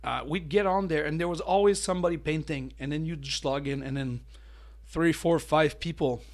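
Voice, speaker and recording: A man hosting a miniature painting channel, chatting casually with a mellow voice, full of fillers and restarts. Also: a little high, moderate pace. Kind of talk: casual talking-head hobby tips.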